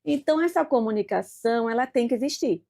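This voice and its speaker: A woman's voice: fairly high pitch, lively intonation, creaky, dark tone. Emphatic Northeastern Brazilian woman. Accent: Northeastern Brazilian Portuguese. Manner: emphatic, drawn-out words, coaching tone. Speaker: guest speaker. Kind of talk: motivational studio talk.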